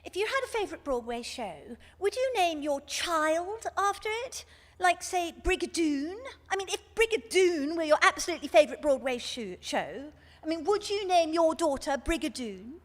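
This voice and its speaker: A woman's voice: very high-pitched, expressive, normal speed. A woman playing a dotty, snobbish society mother asking earnest, prying questions in a radio comedy. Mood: earnestly nosy. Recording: reverberant hall recording.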